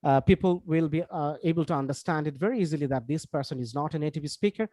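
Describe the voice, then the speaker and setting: A man from Bangladesh with a Bangladeshi accent, a Bengali speaker lecturing informally in English as a course instructor, explaining haltingly with frequent asides. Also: fairly high pitch, mellow, no echo, studio quality.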